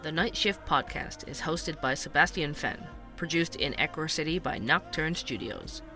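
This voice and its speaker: A man's voice: fairly high pitch, normal speed, music in the background. A man reading scripted end credits for a podcast as its announcer.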